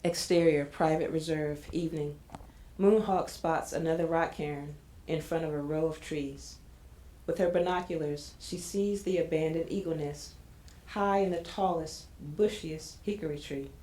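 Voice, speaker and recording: A woman narrates an audio drama from a script. Her voice is low and mellow, and she reads out scene headings and stage directions. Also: steady tone, unhurried, slight background noise, low-quality recording.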